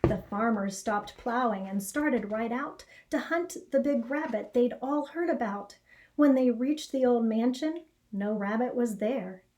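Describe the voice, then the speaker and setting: Slow, mellow woman reading a story. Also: on the high side. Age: middle-aged. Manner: expressive, measured storytelling. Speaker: storybook reader. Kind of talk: read-aloud children's story narration. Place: small indoor classroom or office.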